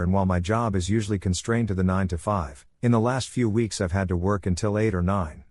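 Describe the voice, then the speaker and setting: Male story reader, lowish pitch, dead-dry booth sound, even unhurried delivery. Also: dry recording. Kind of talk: reading online posts aloud.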